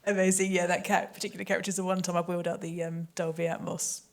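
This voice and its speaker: A woman's voice: on the low side, level tone, fast talker. A woman answering in an unscripted panel discussion, tentative and thoughtful, feeling her way through her points. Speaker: audio drama maker on a panel.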